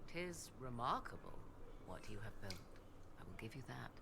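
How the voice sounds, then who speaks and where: An actress playing a proud, enigmatic sorceress mother in a fantasy game, speaking formal, archaic English with a measured, haughty manner. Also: rumbling low, big pitch swings, creaky, background noise, heavily acted. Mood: grave, wry. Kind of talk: dramatic fantasy game dialogue.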